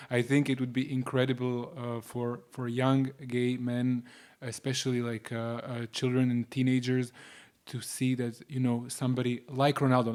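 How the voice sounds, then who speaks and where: A man, a guest talking earnestly in a casual panel discussion and speeding up as he makes his points. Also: mid-range voice. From Croatia. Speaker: podcast guest.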